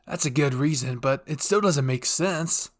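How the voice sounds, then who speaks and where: A man's voice: fairly high pitch, normal speed, close to an everyday voice. A man playing a skeptical, forthright teenage boy soldier with a direct manner in an anime fan roleplay. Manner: direct, questioning.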